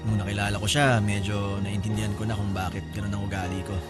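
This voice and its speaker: A man acts a breezy older brother in a radio drama. His voice is on the low side, casual and frank, with a teasing, talkative manner over clearly audible music. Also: level tone, fast talker, natural voice. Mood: reflective, frank. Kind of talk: Filipino radio-style drama dialogue.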